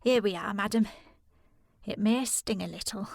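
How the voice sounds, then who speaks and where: An actress playing a soothing, dutiful housekeeper in a period audio drama, deferential and formal, with a husky, creaky voice. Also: female voice, mid-range voice, normal speed, darkish tone, no echo, studio quality. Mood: brisk, caring.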